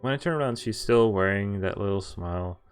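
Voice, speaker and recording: A man's voice: fairly low pitch, brisk, clear, resonant tone, soft background music. A man streaming a visual novel, reading its dialogue and narration aloud and breaking off with casual, laughing asides. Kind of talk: game playthrough, reading lines aloud with commentary.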